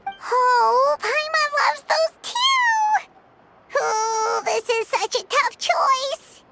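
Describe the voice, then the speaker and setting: A woman's voice: very high voice, very animated, drawn-out words, music in the background, full character voice. A woman voicing a tiny, childlike, food-loving fairy companion in a video game, exclaiming about herself in the third person. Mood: eager.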